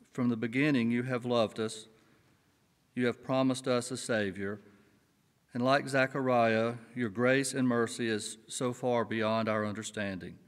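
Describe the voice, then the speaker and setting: An older man leading worship from a church pulpit, reading scripture and praying in a measured, earnest way. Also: medium pitch. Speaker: worship leader. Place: church sanctuary. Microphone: pulpit mic. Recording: church hall with long reverb.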